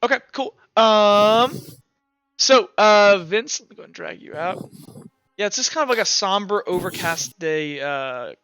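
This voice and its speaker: Young male game master with a high, bright voice. Rambly and casual, dry close mic. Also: lively intonation, clean tone, no echo. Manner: chatty, stumbling, quick asides with frequent fillers. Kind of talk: casual tabletop game chat.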